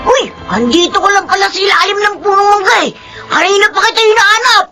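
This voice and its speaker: A woman acting in a Filipino radio drama as an eager, excitable young boy egging on his friend. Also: very high-pitched, quick pace, over loud music. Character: eager, admiring schoolboy pal tempting his friend.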